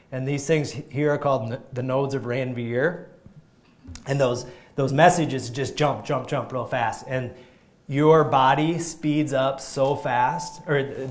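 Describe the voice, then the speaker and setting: Male chiropractor giving a live talk to a group, energetic, emphatic, average-pitched voice. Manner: energetic, emphatic, frequent restarts.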